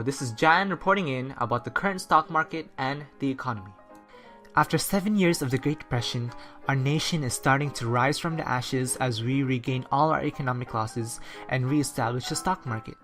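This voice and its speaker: A radio narrator and newscaster reads in a steady, scripted manner at a middling pitch, like a school recreation of a 1930s broadcast. Soft music sits faintly behind the voice. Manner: steady, read-from-script delivery. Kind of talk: read-aloud 1930s-style radio narration and news.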